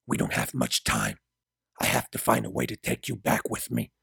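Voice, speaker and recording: A man voicing an intense, desperate man out of his own time in an audiobook drama, speaking with low, forceful urgency. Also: sing-song, moderate pace, gravelly, husky, dry recording, clean studio sound, exaggerated voice. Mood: urgent, pleading. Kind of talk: dramatic audiobook character dialogue.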